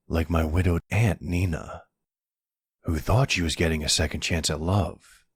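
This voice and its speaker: A man's voice: dry recording, studio quality, heavily acted. A man playing a weary, exasperated but courteous mafia boss in an ASMR audio roleplay, with wry, colloquial delivery. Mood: wry, bitter. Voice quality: deep, smooth, gravelly creak. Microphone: close, dry microphone.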